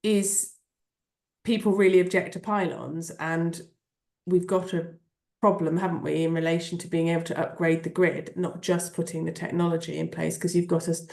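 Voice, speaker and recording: A woman on a webinar panel, thinking aloud with halting restarts. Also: on the low side, level tone, creaky, a little mellow, roomy sound.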